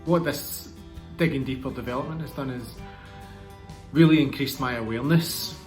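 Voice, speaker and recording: A man speaking in an earnest, measured way in a reflective interview. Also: mid-range voice, a little mellow. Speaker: project team member. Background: guitar music.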